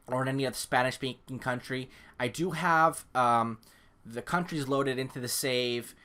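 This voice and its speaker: Bright-voiced rambling young man. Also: mid-range voice. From California. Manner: rambling, self-deprecating, many fillers and restarts. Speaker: gaming YouTuber. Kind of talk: casual gameplay commentary to camera. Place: home room. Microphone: desk microphone.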